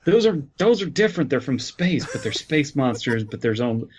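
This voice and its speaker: A man's voice: medium pitch, sing-song, a little fast, a little mellow, slight background noise. A man co-hosting a comedy podcast, bantering casually in a wry, mock-serious way. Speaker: podcast co-host. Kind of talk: casual banter and story reading. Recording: dull, lo-fi voice track.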